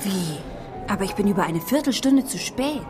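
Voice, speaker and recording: Actress as prim, prickly young lady, medium pitch. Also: expressive, moderate pace, music in the background, close to an everyday voice. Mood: nervous, prickly. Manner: formal, curt, touchy. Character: prim, anxious, prickly well-bred young woman. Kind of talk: German radio drama acting.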